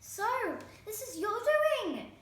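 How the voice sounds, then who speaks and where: A woman's voice: extremely high pitch, lively intonation, slow pace, a bit bright, very echoey, far from the mic, slight background noise, pitched above the performer's own voice, exaggerated voice. A woman voicing a furious, menacing fairy-tale stepmother in a screeching, threatening storybook voice.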